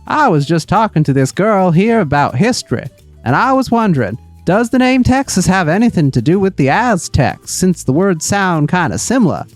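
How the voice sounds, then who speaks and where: A man's voice: high-pitched, big pitch swings, moderate pace, no room sound, soft background music. A man voicing a noisy, gushing, folksy middle-aged woman tourist who rambles on in an audio story. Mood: cheerful, oblivious. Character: loud, chatty, folksy middle-aged tourist wife from Wisconsin.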